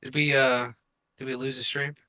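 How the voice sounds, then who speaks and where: A man speaks as a co-host on a casual live stream. His voice sits at a middle pitch with a bright, forceful edge. He talks informally, working without a script. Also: level tone, normal speed. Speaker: podcast co-host.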